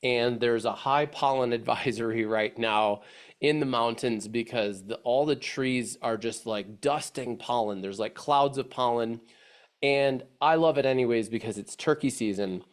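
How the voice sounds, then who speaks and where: A man's voice: mid-range voice, measured pace. A man from the Chicago suburbs presenting a casual webinar, congested from allergies, talking in a friendly, rambling way with frequent restarts. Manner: friendly, chatty, many restarts and fillers. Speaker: webinar presenter, agency head.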